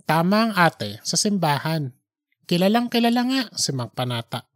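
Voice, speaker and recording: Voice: mid-range voice, big pitch swings, moderate pace, fairly bright tone, soft background music. Audiobook narrator for a fiction podcast, medium register, scripted storytelling.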